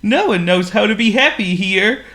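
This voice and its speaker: A man voicing a cheerful, chatty male penguin in a storybook reading, with a high sing-song delivery. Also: expressive, normal speed, bright, forceful tone, exaggerated voice. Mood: gloomy.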